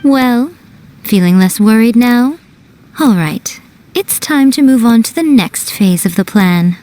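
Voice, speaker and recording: A woman voicing a sly fox-spirit shrine priestess in a game dub, smooth, playful and teasing. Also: on the high side, lively intonation, measured pace, dark tone. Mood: coaxing.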